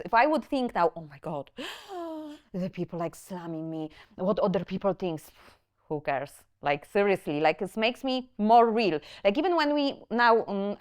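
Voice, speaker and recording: A woman from Poland with a Polish accent, hosting a podcast as a nail educator, talking animatedly with frequent restarts. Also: mid-range voice. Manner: animated, restarts words, loose English grammar. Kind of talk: casual chat.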